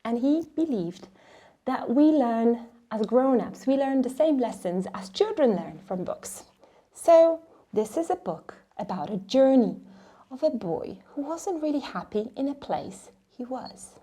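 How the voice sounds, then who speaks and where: High, animated woman storyteller, slow and expressive. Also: breathy edge. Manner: expressive, dramatic, drops into hushed whispers. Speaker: host of a children's read-along and craft show.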